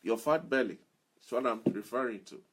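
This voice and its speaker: A man's voice: medium pitch, a little fast, a bit bright, husky. A man giving an earnest motivational talk as a self-improvement speaker, repeating key phrases for emphasis.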